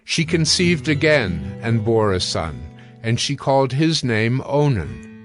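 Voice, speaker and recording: A man narrates scripture in a scripted audio drama style. His voice sits at a medium male pitch, with soft music underneath. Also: big pitch swings, measured pace.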